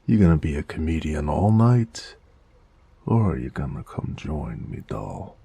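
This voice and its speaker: A male actor voices a weary noir gangster lover with an extremely low voice. He takes his time, drawling and sighing through old-time slang, yet swings expressively between murmurs and fuller speech. The manner is intimate, teasing and affectionate, made for romance audio. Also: big pitch swings, measured pace, dark tone, exaggerated voice. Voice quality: very deep, gravelly, breathy murmurs.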